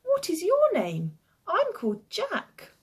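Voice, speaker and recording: An eager little storybook child, played by a woman, pleads and admires in a sweet, high-pitched voice. The lines are drawn out and very animated. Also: slow, exaggerated voice. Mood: admiring, earnest.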